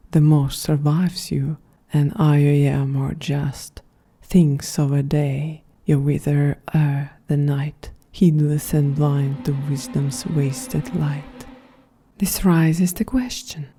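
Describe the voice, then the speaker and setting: A woman voicing a calm, quietly grandiose female android in a breathy, half-whispered, soft-spoken ASMR roleplay. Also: sits low, exaggerated voice. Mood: measured, lecturing. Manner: slow, measured, solemn delivery.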